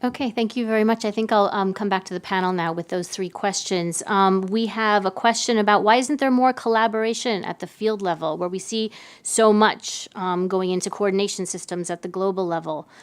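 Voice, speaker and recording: A woman's voice: mid-range voice, brisk, clean tone. A woman chairing a question and answer session, summarising questions and handing over to panelists. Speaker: panel moderator.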